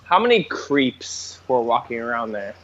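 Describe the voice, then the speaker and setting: A man co-hosting a podcast, talking in an excitable, stammering way with lots of swearing. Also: medium pitch, a little slow, muffled recording, a crowd in the background. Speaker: podcast co-host. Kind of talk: casual chat.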